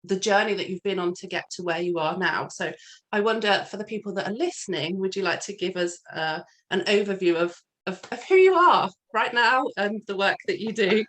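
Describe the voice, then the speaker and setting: A woman hosts an interview in a voice of middle pitch. She speaks encouragingly, often pausing on fillers and restarting her sentences as she frames her questions. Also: a bit bright. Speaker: podcast host. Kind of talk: interview hosting.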